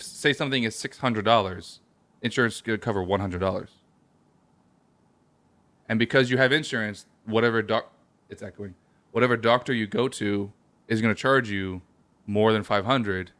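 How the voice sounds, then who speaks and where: A man's voice: fairly low pitch, moderate pace, pauses often, a bit bright. A man co-hosting a casual comedy podcast, who jokes and explains things at length. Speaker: podcast co-host. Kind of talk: casual chat. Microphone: headphones with a remote call setup.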